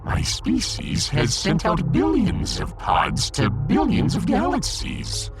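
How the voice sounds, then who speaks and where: Villainous alien fish overlord: croaky, pompous, theatrical, wildly swinging intonation. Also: male voice, fairly high pitch, huge pitch swings, over music, full character voice. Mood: grandly boastful. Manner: pompous, theatrical, slow and deliberate. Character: pompous alien fish emperor, menacing self-styled god. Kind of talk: sci-fi audio drama acting.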